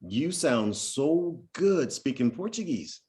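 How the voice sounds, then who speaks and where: A man's voice: a little high, sing-song, slow, darkish tone. A man hosting an interview, asking questions and giving short encouraging affirmations. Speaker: interview host.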